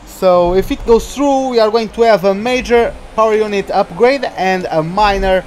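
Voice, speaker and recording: High-voiced man live-streaming a race. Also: slight background noise. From Portugal. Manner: chatty, reads out viewer names, reacts to the race. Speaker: gaming streamer. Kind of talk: live stream commentary while racing.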